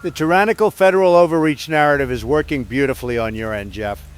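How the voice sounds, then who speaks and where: A man's voice: a little high, fast talker, smooth, close to an everyday voice. A man playing a self-satisfied, scheming president in an audio drama, with a polished way of talking. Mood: smug approval.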